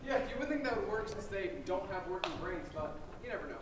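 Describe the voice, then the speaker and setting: A man's voice: high-pitched, expressive, quick pace. A man playing a smug, dismissive survival instructor in a comedic stage play. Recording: echoey hall, distant mic, clear noise.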